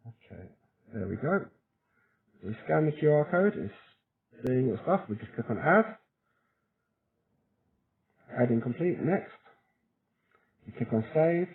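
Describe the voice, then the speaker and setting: A man presenting a how-to tutorial in an informal, chatty way, often checking in with the listener as he explains each step. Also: mid-range voice. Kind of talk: casual how-to walkthrough. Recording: thin, phone-like narrow-band audio.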